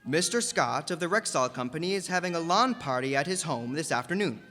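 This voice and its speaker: A man's voice: fairly high pitch, expressive, brisk, bright, forceful tone. A man playing an upbeat announcer in a 1940s-style radio comedy show. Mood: bright, announcing. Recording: reverberant hall, music behind.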